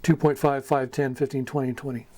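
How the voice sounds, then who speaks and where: A man's voice: medium pitch, level tone, fast, a little mellow. An American man giving an informal explanatory talk as a hobbyist teacher. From United States. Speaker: amateur radio hobbyist teaching beginners.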